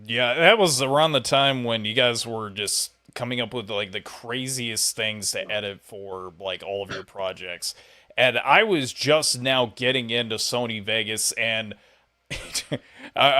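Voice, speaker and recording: A man hosts a casual interview in a very bright, forward voice. His pitch sits in an ordinary middle range, and he talks in a conversational way. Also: a little slow. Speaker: interviewer, host.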